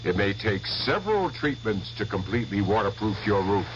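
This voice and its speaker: A man's voice: fairly low pitch, very animated, normal speed, noisy background. A man delivering a helpful public service announcement on the radio. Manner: helpful, advising. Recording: dull old radio spot over music.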